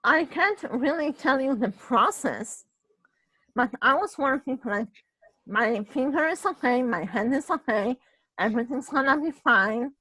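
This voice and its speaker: Husky Polish-accented woman, high voice. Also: pushed and bright, no echo, poor audio. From Poland. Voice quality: husky voice, ongoing voice problem. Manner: halting, restarts phrases, tags questions with "right?". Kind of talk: casual personal storytelling.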